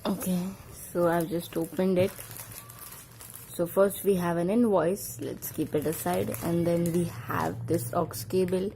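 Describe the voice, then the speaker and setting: A woman's voice: fairly low pitch, fairly even intonation, a little slow, vocal fry, warm tone, slight background noise. A woman casually presenting a product unboxing, speaking in short, simple, repetitive phrases as she demonstrates. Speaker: product review vlogger.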